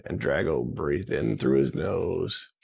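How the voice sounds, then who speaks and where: A man tells a children's bedtime story at an unhurried pace, with a gravelly edge to his voice. His phrasing is simple and repetitive for young listeners, and the recording sounds slightly dull. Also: low-pitched, animated. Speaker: children's story podcast host and narrator.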